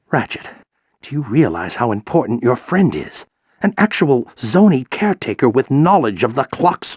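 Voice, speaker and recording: Gravelly, theatrical old alien general. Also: male voice, fairly high pitch, a bit bright, dry recording, clean studio sound, full character voice. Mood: focused, resolute. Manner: grand, exhorting, coaching. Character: aged Lombax general, furry alien mentor, fervent and encouraging. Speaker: mentor and military veteran. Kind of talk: video game character acting.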